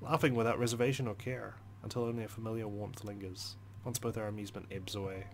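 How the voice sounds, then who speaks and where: A man reading first-person game narration aloud as a let's play host, expressive, with dramatic, deliberate phrasing. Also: on the low side, creaky, a little hiss or hum. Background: music.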